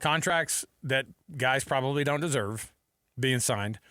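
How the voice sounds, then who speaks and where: A man's voice: medium pitch, a little slow, pushed and bright, clear, resonant tone, dry recording, clean studio sound. A man hosting a sports radio show, arguing his points emphatically in casual, bantering debate. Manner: argumentative, emphatic.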